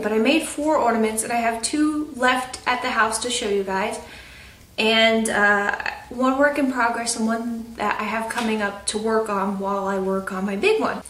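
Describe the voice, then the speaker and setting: A woman's voice: fairly high pitch, fairly bright tone, far from the mic, slight background noise. Stuffy-nosed young woman, chatty, echoey room. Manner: chatty, rambling, lots of fillers and restarts. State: stuffy nose. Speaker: craft vlogger. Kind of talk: casual chatty update. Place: at home. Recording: roomy echo, off-mic.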